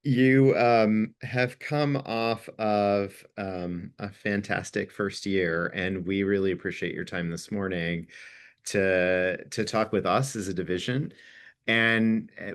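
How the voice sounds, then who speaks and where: A man's voice: lowish voice, slow, smooth. A man hosting an online town hall, introducing and questioning a guest in a conversational, semi-formal way.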